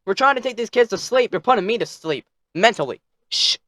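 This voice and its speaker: A man's voice: high voice, lively intonation, brisk, fairly bright tone, no room sound, clean studio sound. A man telling a bedtime story as narrator, theatrical, with playfully snippy asides.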